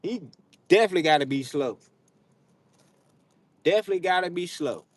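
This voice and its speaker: A young adult man with hosts a casual livestream, talking trash to his viewers in an animated, drawling, slangy way. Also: high voice, brisk, dry recording. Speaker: livestream host. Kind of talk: casual live chat with viewers. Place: outdoors, frogs nearby.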